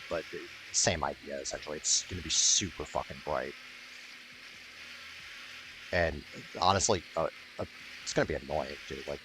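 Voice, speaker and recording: A man's voice: rumbling low, noisy background. A man giving casual, rambling playthrough commentary as a gaming YouTuber, jokey and sweary.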